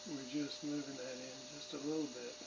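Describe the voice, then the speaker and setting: A man's voice: mid-range voice, level tone, brisk. Man muttering through hands-on work, noisy recording. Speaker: radio repair hobbyist demonstrating a restoration. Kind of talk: casual hands-on demonstration, thinking aloud. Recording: clearly noisy workshop recording.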